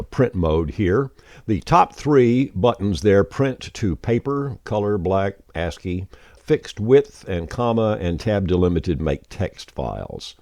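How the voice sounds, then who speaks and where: Very deep-voiced man giving a software walkthrough. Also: no room sound, studio quality. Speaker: software tutorial presenter. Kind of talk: casual screen-share software walkthrough.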